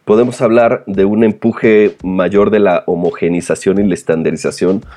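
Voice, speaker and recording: Man, Mexican accent, very low pitch, soft dark tone, podcast host, hesitant analytical delivery. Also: fairly even intonation, normal speed. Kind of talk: conversational commentary with prepared points.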